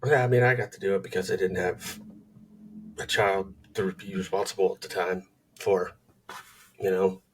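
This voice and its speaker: A very deep-voiced man in his forties, chatting in a relaxed, easygoing way. He sits back from the microphone, so he sounds distant in the recording. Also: normal speed, pauses often, slight background noise. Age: 40s. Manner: laid-back, easygoing, reminiscing. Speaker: podcast co-host, skydiving instructor. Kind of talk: casual chat. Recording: voice from far off the mic.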